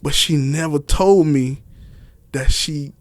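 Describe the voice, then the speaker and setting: A man's voice: a little high, slow pace, dry recording. A man telling personal stories casually as an interview guest, slangy and unfiltered, swearing freely. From Miami, Florida. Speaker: interview guest, author and stage play director.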